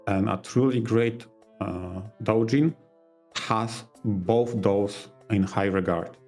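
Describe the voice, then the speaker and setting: A man co-hosting a podcast, explaining his points casually in a measured, analytical manner. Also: lowish voice, darkish tone, soft background music. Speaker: podcast co-host.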